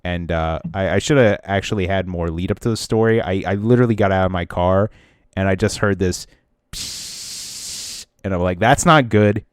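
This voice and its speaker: Deep-voiced congested man rambling. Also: moderate pace, clear, resonant tone, no echo, studio quality. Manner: rambling storyteller who often restarts and trails off. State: congested, stuffy nose. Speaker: podcast co-host. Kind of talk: casual podcast chat.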